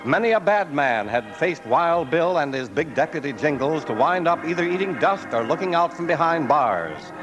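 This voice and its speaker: A man's voice: on the high side, very animated, moderate pace, hardly any pauses. A man delivering dramatic scripted narration as announcer of an old-time radio western. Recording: dull lo-fi old radio recording. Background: music.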